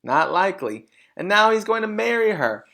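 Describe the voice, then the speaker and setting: A man puts on a smug, vain storybook mother who sneers at everyone. The voice is raised in pitch and swings about widely, with brash, emphatic phrasing. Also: sing-song, normal speed, creaky, pushed and bright, full character voice. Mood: scornful, emphatic.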